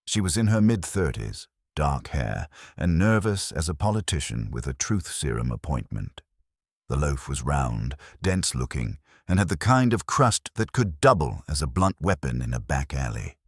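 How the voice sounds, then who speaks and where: A man's voice: extremely low pitch, sing-song, normal speed, husky, dry recording, clean studio sound. A man narrating a first-person detective story in a wry, hard-boiled manner. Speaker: audiobook narrator.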